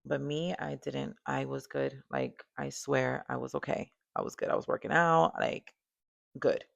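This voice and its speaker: Gravelly-voiced woman with a Dominican accent. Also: extremely low pitch, steady tone, unhurried, no room sound, dull audio. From Dominican background. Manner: chatty, candid, lots of fillers and asides. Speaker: podcast host. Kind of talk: casual personal talk to camera.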